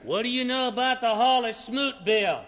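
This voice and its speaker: A man's voice: extremely high pitch, moderate pace. An actor playing a hostile, mocking congressman who heckles with a jeering drawl in a radio drama. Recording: noisy crowd around.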